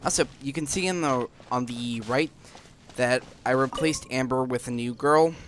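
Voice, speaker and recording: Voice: medium pitch, moderate pace, a little hiss or hum. A gaming video host giving casual, informal, explanatory commentary while playing. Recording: game music behind the voice. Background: video game music.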